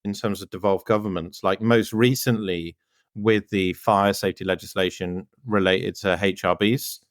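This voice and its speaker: A man from North Wales with a Welsh accent hosts a podcast, with a somewhat low voice. He asks his questions in a chatty way and wanders into side remarks. The recording is close and dry. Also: fairly even intonation, moderate pace, dry recording. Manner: chatty, loose asides. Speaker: podcast host. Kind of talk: conversational podcast interview.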